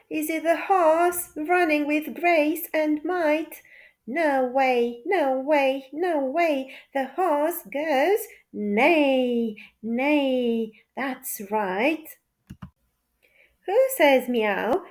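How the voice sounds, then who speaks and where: A woman with a high voice reads a rhyming picture book aloud for small children. Her delivery is very slow and deliberate, with a playful sing-song lilt suited to toddlers. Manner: playful, repetitive question-and-answer delivery for toddlers. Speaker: children's story narrator.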